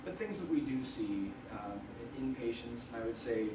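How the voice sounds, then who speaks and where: A man, a radiation oncologist, explaining treatment to patients in a measured way, slightly hoarse and often restarting his phrases. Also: mid-range voice, lively intonation. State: hoarse from wildfire smoke. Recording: roomy, from far off, noisy.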